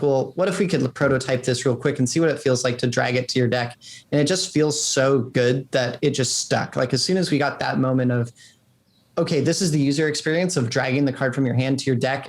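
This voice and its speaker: A man speaks in a casual interview as a game designer. His voice sits at a middling pitch, and he talks informally, feeling his way through sentences with plenty of filler words. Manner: informal, halting, frequent "like".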